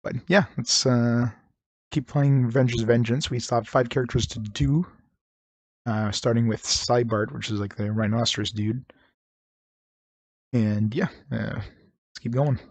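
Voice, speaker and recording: A man's voice: mid-range voice, fairly even intonation, a little slow, pauses often, a little mellow, no room sound. A man giving casual let's-play commentary, slightly nasal and congested as his voice recovers, rambling with chuckles and fillers. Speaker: game streamer.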